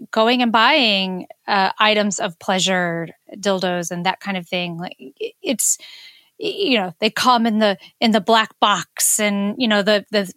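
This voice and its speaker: A woman's voice: medium pitch, bright, forceful tone, smooth, dry recording. A woman in a casual, reflective chat, speaking earnestly and feeling her way through her phrases.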